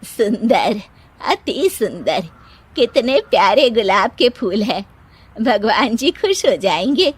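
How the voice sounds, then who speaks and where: Cartoon mother-in-law, played by a woman: older, indulgent, drawn-out phrases, teasing, raised pitch. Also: big pitch swings, breathy edge, exaggerated voice. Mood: fond. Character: good-humoured older mother-in-law, fond and gently teasing.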